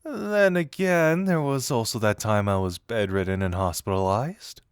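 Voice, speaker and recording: A man's voice: fairly low pitch, normal speed, clean tone, no room sound, clean studio sound, close to an everyday voice. A man acting a flirty, self-deprecating detective who shyly confesses as a secret admirer in a romantic audio roleplay, teasing yet earnest and hesitant. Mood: playful reasoning.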